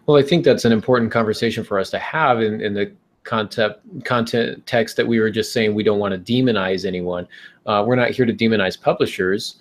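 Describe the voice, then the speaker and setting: A man hosting a casual advice discussion, speaking in a measured, hesitant and reassuring way. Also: lowish voice. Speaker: podcast host.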